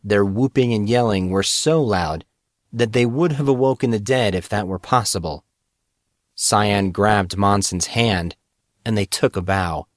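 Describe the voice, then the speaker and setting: A man's voice: on the low side, unhurried, no room sound, clean studio sound. A man reading scripted fantasy fiction as the narrator of a story podcast.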